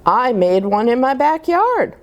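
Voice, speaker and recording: A woman's voice: sits high, big pitch swings, measured pace, background noise, pitched above the performer's own voice. A woman reading the part of a kindly grandmother in a storybook, reminiscing wistfully about simple homemade play. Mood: fond reminiscing.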